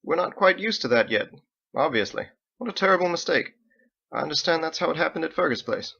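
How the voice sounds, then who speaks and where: A man's voice: on the high side, lively intonation, fairly quick, creaky, pushed and bright, slightly rough, muffled recording, voice pushed up, heavily acted. A man playing an effusive, pious elderly father and tavern keeper, wheedling and full of exclamations, in a read-aloud story. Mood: flustered, polite.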